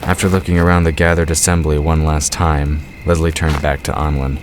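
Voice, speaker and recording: A man's voice: a bass voice, level tone, a little fast, a little hiss or hum. A man giving scripted narration for a fantasy audio drama.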